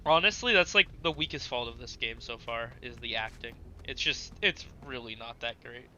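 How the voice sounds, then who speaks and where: Guy streaming a game, voice worn hoarse, chatty and rambling, super bright edgy tone. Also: medium pitch, moderate pace, lo-fi sound. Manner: rambling, chatty, talks back to chat. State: hoarse, losing his voice. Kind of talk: casual gaming stream commentary.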